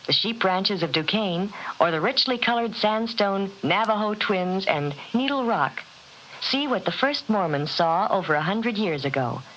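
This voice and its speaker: A woman announcer reading scripted informational narration in a measured, formal way. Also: mid-range voice, lively intonation, a bit bright. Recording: dull old radio recording, faint noise.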